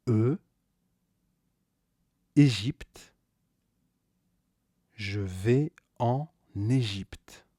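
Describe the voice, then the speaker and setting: A man teaching a scripted beginner grammar lesson, slowly repeating each key word and stressing it with a rise. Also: mid-range voice, warm tone, dry recording, studio quality. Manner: slow, deliberate, repeats key words with stressed rises. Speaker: French teacher.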